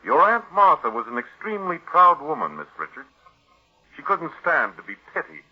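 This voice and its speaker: Husky, grave radio-drama doctor. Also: male voice, fairly high pitch, huge pitch swings, soft background music, exaggerated voice. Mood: accusing. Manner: deliberate, probing, accusatory. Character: shrewd, grave male family doctor exposing a killer. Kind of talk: 1940s radio drama acting. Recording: muffled old radio recording.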